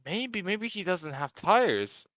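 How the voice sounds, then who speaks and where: A man's voice: sits high, sing-song, takes it slowly, fairly bright tone, no room sound. A man whose first language is Bosnian, chatting casually as a co-commentator on a sim racing livestream and laughing easily. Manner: chatty, laughs easily, jumps in over others.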